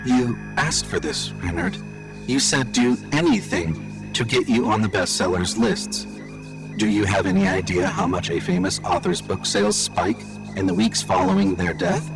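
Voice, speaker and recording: A man voicing a calm, calculating and sinister AI house assistant in audio horror fiction, measured and matter-of-fact. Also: on the low side, gravelly, over music, voice pushed up, rough recording. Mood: calm menace.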